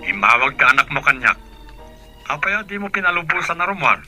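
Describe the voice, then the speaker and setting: Exasperated father, very bright forceful voice, remonstrating, music behind, radio drama. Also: fairly high pitch, sing-song, fairly quick, heavily acted. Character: exasperated father arguing over the phone with his wife.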